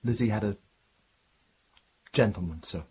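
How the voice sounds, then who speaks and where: An actor playing a young condemned prisoner in a radio drama, deferential and wounded, reluctant to confide. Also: male voice, fairly low pitch, a little slow, darkish tone, breathy edge, highs cut off. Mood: pleading, guarded. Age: young adult. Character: haunted young condemned prisoner protesting his innocence.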